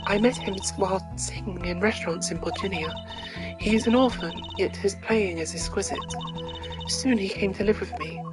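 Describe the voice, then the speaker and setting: A woman's voice: mid-range voice, moderate pace, lightly acted. Wistful woman singer for a game amateur female voice actor: composed, reflective, formal phrasing, music in the background. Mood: serene, gracious. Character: serene, wistful foreign woman singer, mysterious and gracious. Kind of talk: amateur video game character voice-over.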